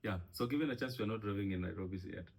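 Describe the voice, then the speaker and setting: A man's voice: lowish voice, very fast, gravelly. A man with a Kenyan accent hosting a casual interview, prompting his guest with loose questions and frequent restarts. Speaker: podcast host.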